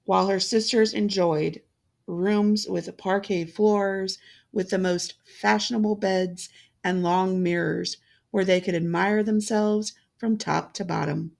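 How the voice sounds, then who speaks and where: A woman narrating a fairytale in a measured, deliberate way, with a gravelly, creaky voice. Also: mid-range voice, steady tone. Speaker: storyteller.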